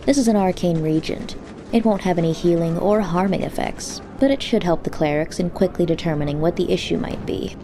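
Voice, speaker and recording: A woman's voice: fairly low pitch, fairly quick, lightly acted. A woman playing a businesslike, precise potion master in an audio drama with a noisy background. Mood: matter-of-fact. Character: businesslike, fussy female potion master doctor. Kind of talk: audio drama character acting.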